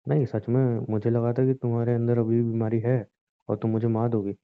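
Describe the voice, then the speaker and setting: A man's voice: medium pitch, level tone, fairly quick, clear, resonant tone, no room sound, natural voice. A man acting as a devoted, reassuring young husband in a conversational romantic audio drama, earnest with a touch of teasing bossiness. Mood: tender, reassuring. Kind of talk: romantic phone-call style audio drama in Hindi. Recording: muffled, narrow phone-like audio.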